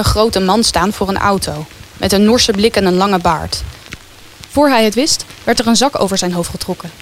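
A woman's voice: medium pitch, slight background noise. A woman reading scripted narration for a radio play.

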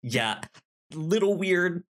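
A man co-hosting a podcast in casual chat, speaking haltingly and repeating words as he goes. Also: sits high, animated. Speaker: podcast co-host reviewing comics.

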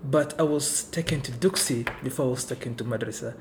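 A man's voice: mid-range voice, a little fast, noisy background. A man from Kenya whose first language is Somali, talking unhurriedly in a casual interview and leaning on "you know" and "right". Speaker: interview guest. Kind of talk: casual conversation.

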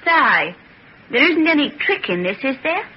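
A streetwise female thief on the run, blunt and wary. Her pitch moves freely as she talks in slangy old radio drama style. The sound is muffled, with faint noise behind it. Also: very animated, unhurried. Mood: suspicious. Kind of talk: old-time radio serial acting.

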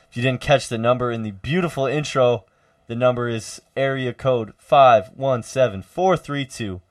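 A young man hosting a college radio sports talk show, loud and energetic, halting with many fillers. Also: medium pitch, no room sound.

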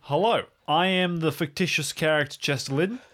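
A man's voice: fairly high pitch, a little fast, a loud crowd. A man bantering casually as a podcast player, playful and mock-serious. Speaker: podcast regular, improv role-play player.

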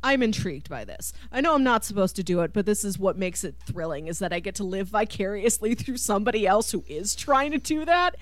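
A woman chatting casually as a podcast co-host about media, animated, often acting out imagined reactions and laughing through her words. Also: mid-range voice, brisk, hardly any pauses. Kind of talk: casual film discussion.

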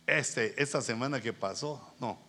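A man's voice: medium pitch, a little slow, pushed and bright. A middle-aged man with a Guatemalan accent preaching as a pastor in an informal, colloquial storytelling style, acting out bits of dialogue. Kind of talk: conversational sermon with anecdotes.

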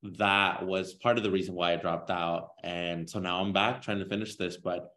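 A man in his late twenties from Kansas talks as a podcast guest in a very low voice. He is earnest and thoughtful, often restarting his sentences as he searches for words. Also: steady tone, normal speed, sharp tone. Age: 20s. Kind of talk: casual podcast interview.

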